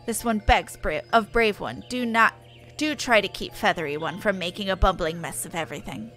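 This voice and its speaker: A woman voicing a stern, weary forest-spirit elder from a fantasy game, speaking in measured, formal third person. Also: medium pitch, fairly bright tone, soft background music, voice pushed down, close to an everyday voice. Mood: stern, solemn. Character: stern, weary sylph elder giving solemn orders.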